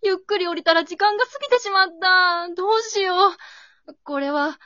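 A woman playing an anxious, bewildered high school girl in an amateur radio drama, thinking aloud in exclamations. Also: moderate pace, pushed and bright, dry recording, lo-fi sound.

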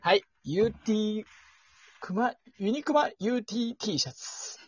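A young man hosting a casual podcast, playfully deadpan, slipping between polite announcer phrasing and chatty rambling. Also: high voice, a little slow. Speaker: podcast host. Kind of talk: casual two-person podcast chat with mock news segment. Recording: dull lo-fi audio.

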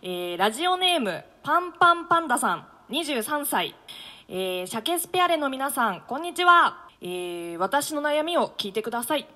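A woman's voice: sits high, very animated, normal speed, pushed and bright. Chatty woman co-host in reverberant sound. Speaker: radio show co-host, actress. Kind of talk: chatty radio show hosting.

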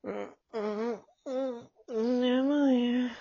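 A woman playing a clingy, teasing, possessive teenage boy in love, with a husky, breathy, languid voice, in an amateur romantic audio drama. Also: fairly high pitch, steady tone, drawn-out words, dark tone, heavily acted. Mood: sleepy. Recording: dull, lo-fi home recording.

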